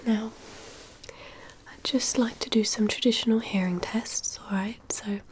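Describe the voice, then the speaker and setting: A woman whispers softly and breathily in an ASMR medical exam roleplay, giving gentle, reassuring instructions as a doctor. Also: fairly high pitch, fairly even intonation, measured pace. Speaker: ASMR performer role-playing a doctor giving a hearing test.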